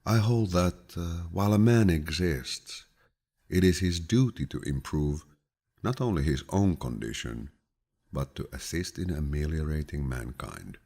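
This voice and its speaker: A man narrates an audiobook of quotations in an extremely deep, gravelly voice with a husky grain. His delivery is calm and measured, like a grandfather passing on wisdom, and his intonation rises and falls expressively. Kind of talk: scripted audiobook reading of quotations.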